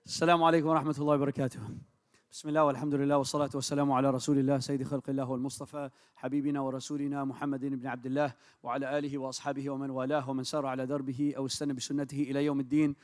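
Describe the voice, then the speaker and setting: A man born and raised in America, an imam preaching in a mosque, with rapid Arabic invocations and earnest English. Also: mid-range voice, level tone, darkish tone. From United States. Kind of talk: mosque talk with Arabic recitation. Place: large mosque hall. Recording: long hall reverb.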